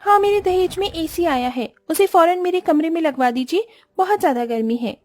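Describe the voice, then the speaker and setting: Woman doing a bratty rich young bride: high, loud, demanding and scornful. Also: expressive, moderate pace, dry recording, faint music behind. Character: spoiled, rich, rude young daughter-in-law.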